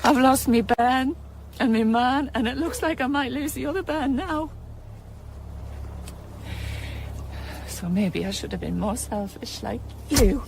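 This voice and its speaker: A woman's voice: sits high, moderate pace. An actress playing a grieving, bitter woman journalist in a radio drama, blunt and sardonic. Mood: bitter grief. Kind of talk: naturalistic radio drama acting. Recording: clear background noise.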